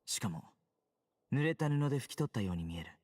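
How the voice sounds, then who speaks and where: A serious young male investigator from a video game, with a mid-pitched voice in a dry, close recording. He talks briskly and analytically, stating observations matter-of-factly. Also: big pitch swings, darkish tone, no room sound. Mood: examining. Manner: measured, matter-of-fact, analytical. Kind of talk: Japanese game voice acting.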